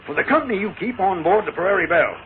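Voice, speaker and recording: An actor playing a stern, protective older riverboat captain, commanding, in a 1940s radio western. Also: male voice, sits high, lively intonation, fast talker. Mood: stern, concerned. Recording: tinny, noisy 1940s radio recording.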